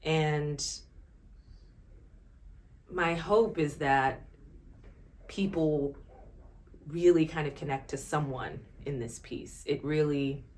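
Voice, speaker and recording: A woman giving a reflective interview, thoughtful and halting. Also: sits low, darkish tone.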